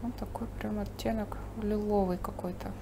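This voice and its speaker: Lady painter explaining as she goes, calm, noticeable hiss behind her. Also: mid-range voice, normal speed, vocal fry. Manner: thinking aloud while painting, calm and explanatory. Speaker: painting instructor. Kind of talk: painting demonstration with commentary. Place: studio table, overhead camera on the painting.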